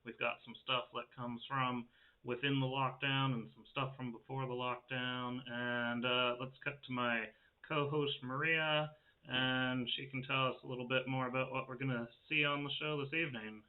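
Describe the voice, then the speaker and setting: A man co-hosting an online arts show with an informal, halting introduction that pauses mid-thought. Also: mid-range voice, little pitch movement. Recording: phone-like, thin online call audio.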